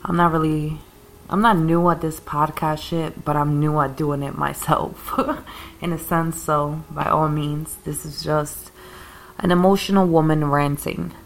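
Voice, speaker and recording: Low gravelly woman ranting casually. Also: a little slow, a little hiss or hum, low-quality recording. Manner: rambling, ranting, self-described calming voice; occasional coughs while smoking. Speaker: podcast host. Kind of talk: casual spiritual monologue.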